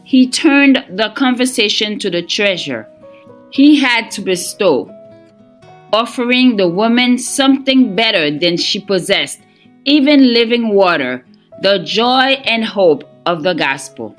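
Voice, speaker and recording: A woman reading a scripted devotional in a measured, earnest manner. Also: medium pitch, expressive, faint music behind. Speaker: devotional reader.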